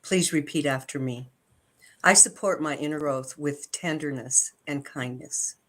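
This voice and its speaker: A woman reads devotional material aloud as a service reader. Her voice is low, and she takes a slow, measured pace. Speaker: affirmations and scripture reader.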